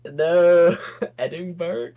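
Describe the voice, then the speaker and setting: A man's voice: a little high, extremely slow, phone-quality sound. A young man from England giving casual online race commentary from his computer, chatty and laughing often.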